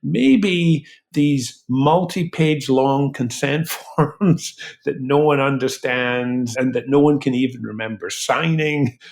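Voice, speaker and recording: A man's voice: mid-range voice, gravelly. Articulate man explaining as an expert guest. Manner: articulate, explanatory, builds points with examples. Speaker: guest medical researcher. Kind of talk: explanatory interview talk.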